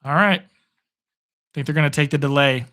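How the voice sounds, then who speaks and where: A man hoarse from hours of talking, giving live play-by-play and chatting upbeat with viewers on his stream. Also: a little high, moderate pace, pushed and bright. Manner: chatty, upbeat, reads viewer comments aloud. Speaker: sports streamer. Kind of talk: live play-by-play and chat reactions.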